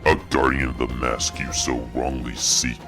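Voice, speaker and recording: A man voicing a stern, ancient mask guardian in an animated fan dub, solemn and scornful. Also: very deep voice, moderate pace. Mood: stern contempt. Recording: music behind the voice.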